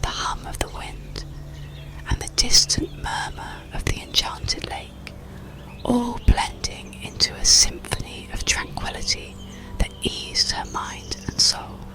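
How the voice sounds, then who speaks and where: A storyteller narrating an ASMR bedtime story in a slow, soothing, hushed whisper. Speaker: audiobook narrator.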